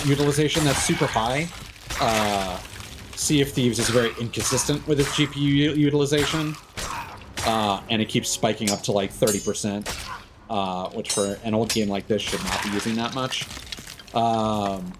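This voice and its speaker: A man live streaming a game, thinking aloud in casual, halting, muttering talk with some swearing. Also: fairly low pitch, noisy background.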